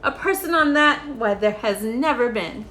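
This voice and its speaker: A woman's voice: on the high side, expressive, normal speed, sharp tone, a little hiss or hum. A woman voicing a sour, scornful mother kangaroo from a storybook in a haughty, dismissive manner.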